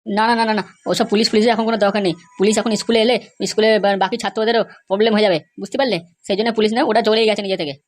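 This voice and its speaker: A woman's voice: mid-range voice, fairly even intonation, racing, lightly acted. A woman playing an evasive, scheming male schoolmaster who placates and repeats himself in a comedy cartoon. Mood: placating, evasive. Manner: placating, repetitive.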